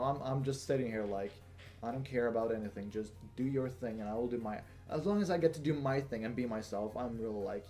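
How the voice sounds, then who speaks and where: A young man hosting a casual Q&A video, talking in a flat, monotone way with lots of fillers and restarts. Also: medium pitch. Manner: monotone, rambling with many fillers. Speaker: gaming channel host. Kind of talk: casual Q&A monologue.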